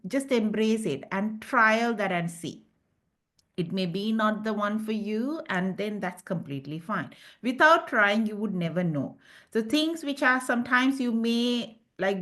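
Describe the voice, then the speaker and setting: Woman panelist, Sri Lankan accent, earnest and encouraging delivery. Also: fairly low pitch. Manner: earnest, encouraging, many restarts. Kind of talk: panel discussion.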